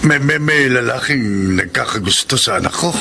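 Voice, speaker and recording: An actor playing a humble, worried middle-aged father with a gravelly voice in a Filipino radio drama. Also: medium pitch, animated, normal speed, bright, forceful tone. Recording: noisy radio drama recording.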